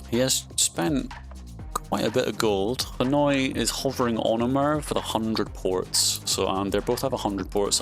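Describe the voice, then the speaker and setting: A man's voice: mid-range voice, fairly bright tone. A man commentating his own strategy game in a casual style, thinking aloud with frequent ums and restarts and sudden surprised outbursts. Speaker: gaming commentator.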